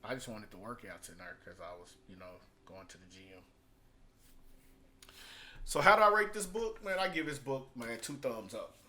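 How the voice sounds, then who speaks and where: A man's voice: mid-range voice, expressive, normal speed, pushed and bright. A man talking in a casual vlog review style, conversational and emphatic, repeating phrases to drive points home. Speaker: product reviewer and online marketer.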